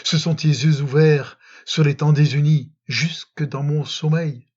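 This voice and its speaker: A man reciting love poetry in a dramatic, solemn and measured manner, with frequent pauses, on a slightly muffled recording. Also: on the high side, steady tone, darkish tone, husky. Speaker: poetry reader.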